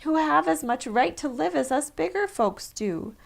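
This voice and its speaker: A woman's voice: high voice, expressive, normal speed, creaky, pitched above the performer's own voice, exaggerated voice. A woman voicing a kind, loyal male elephant, speaking earnestly and pleadingly.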